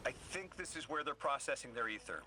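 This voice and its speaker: A man's voice: medium pitch, lively intonation, a little fast, over music, lightly acted. A man playing an earnest, mild-mannered guide in a video game, speaking thoughtfully and a little hesitantly. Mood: focused. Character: earnest, mild male radio guide, sympathetic to aliens. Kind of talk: scripted video game dialogue. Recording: clear background noise of game ambience.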